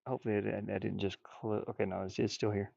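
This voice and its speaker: A man's voice: on the low side, flat delivery, fast talker, creaky, a little mellow, no echo, lo-fi sound. A man streaming casually as he draws, muttering and thinking aloud in folksy, informal speech. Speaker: streamer and hobby artist. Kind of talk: casual livestream chat while drawing.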